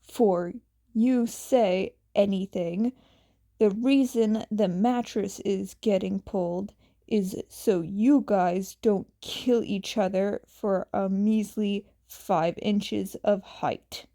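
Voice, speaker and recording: A woman's voice: medium pitch, steady tone, takes it slowly, mellow. A woman voicing a bossy, exasperated young man in a story, with dry, casual, slangy lines. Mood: firm, exasperated. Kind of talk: acted storybook dialogue.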